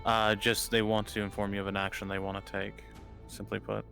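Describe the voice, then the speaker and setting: A man in an online roleplay, speaking measured, compliant dialogue with music behind him. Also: fairly low pitch, completely flat delivery, gravelly, sharp tone. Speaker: roleplay participant.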